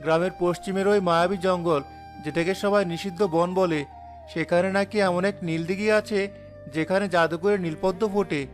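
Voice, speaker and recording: A man acts a devoted poor young villager in a cartoon tale. His voice is high for a man and earnestly pleading, resolute underneath. Faint music accompanies it. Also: fairly even intonation, brisk, close to an everyday voice. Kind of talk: cartoon drama dialogue.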